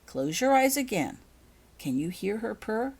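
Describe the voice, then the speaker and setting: Woman as a kindly, firm school matron, lively intonation. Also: medium pitch, unhurried, husky, voice pushed up, full character voice. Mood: gently coaching. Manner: encouraging, patient, instructing.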